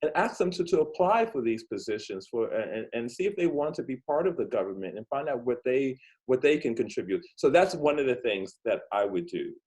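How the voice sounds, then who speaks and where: A man's voice: mid-range voice, big pitch swings, a little fast, hardly any pauses, a little mellow, low-quality recording. Earnest man hosting a kids' story interview. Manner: earnest, thinking aloud with fillers and restarts. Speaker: host.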